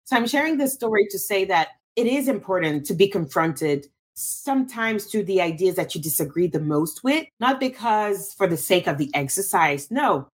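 A woman's voice: fairly low pitch, slightly rough. A woman from Cameroon, a guest in an interview, answering thoughtfully with frequent restarts and fillers.

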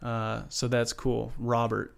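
A man's voice: mid-range voice, monotone, slow pace, creaky, smooth. Man, podcast host, friendly, affirming, casual interview talk. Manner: friendly, affirming, short prompts.

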